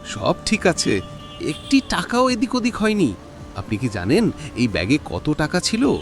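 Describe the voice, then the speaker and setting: Guy as generous rich boss in a cartoon, kinda high voice, super animated and effusive. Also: unhurried, faint music behind, heavily acted. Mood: relieved. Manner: emphatic, effusive. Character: grateful wealthy businessman, effusive and generous.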